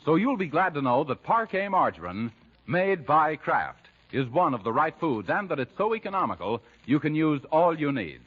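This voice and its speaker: A man announcing in 1940s radio style, with polished, emphatic scripted delivery like a sponsor's pitch. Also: very animated, moderate pace, husky. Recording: muffled 1940s radio recording.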